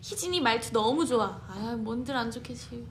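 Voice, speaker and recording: A young woman co-hosting a casual radio chat, teasing warmly and laughing easily. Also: on the high side, lively intonation, moderate pace, reverberant, a little hiss or hum. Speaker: co-host.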